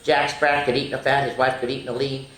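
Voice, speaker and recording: A woman's voice: very deep voice, level tone. Older woman storyteller in an echoey room. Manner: sing-song, drawn-out reading with chatty asides; addresses young listeners directly. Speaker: children's story time host. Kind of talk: reading nursery rhymes aloud to children. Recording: roomy, echoing room sound.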